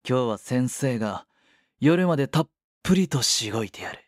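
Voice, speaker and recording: A man's voice: mid-range voice, lively intonation, normal speed, no room sound, close to an everyday voice. Man acting a smug, teasing schoolteacher, close and dry. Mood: stern, teasing. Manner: condescending, teasing. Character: smug, teasing, possessive adult male schoolteacher. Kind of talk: romantic audio drama acting.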